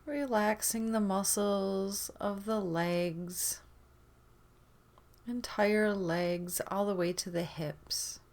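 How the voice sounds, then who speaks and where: A woman leading a guided meditation in a gentle, soothing manner, leaving long pauses between phrases. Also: medium pitch, steady tone.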